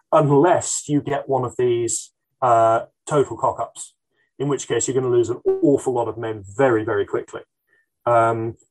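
A man's voice: mid-range voice, rough recording. A man answering interview questions as a historian, thoughtful and hesitant, with frequent ums and restarts. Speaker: military historian guest.

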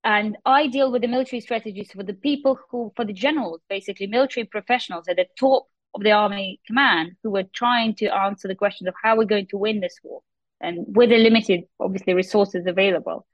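A woman's voice: medium pitch, quick pace, dry recording. Woman, young adult, Russian accent, explanatory interview talk, dry but muffled lo-fi recording. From Moscow, Russia. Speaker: interview guest, historian and researcher.

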